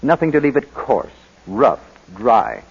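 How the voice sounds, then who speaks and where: A man's voice: mid-range voice, wildly swooping pitch, a little slow, gravelly, husky. A man with an American accent announcing and selling in a polished, emphatic 1940s radio style. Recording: vintage muffled, reverberant radio broadcast.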